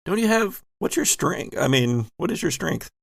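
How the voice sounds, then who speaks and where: A man's voice: fairly high pitch, sing-song, moderate pace, gravelly, no echo, studio quality. A man running a tabletop role-playing game as game master, mixing vivid improvised narration with casual, conversational rules talk.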